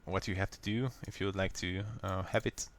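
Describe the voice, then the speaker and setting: A man with a Hungarian accent, a native Hungarian speaker, calmly walking viewers step by step through a software fix in a screen recording. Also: low voice, slight background noise. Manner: unhurried, plain, step-by-step explaining. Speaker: software tutorial maker. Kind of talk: screen-recorded how-to walkthrough.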